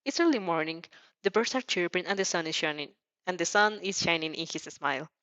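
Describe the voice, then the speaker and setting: A woman reading a story aloud as an expressive amateur narrator, sometimes stumbling and rereading phrases. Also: fairly low pitch, fairly quick, dry recording. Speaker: fan fiction narrator.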